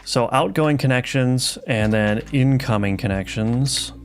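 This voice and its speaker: A mid-pitched man talks through his code on a live stream, musing aloud with frequent fillers and restarts. He stays casual and unhurried, and faint lofi music plays softly behind him. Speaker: game developer streaming live. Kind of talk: live coding stream, reading chat. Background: soft lofi music.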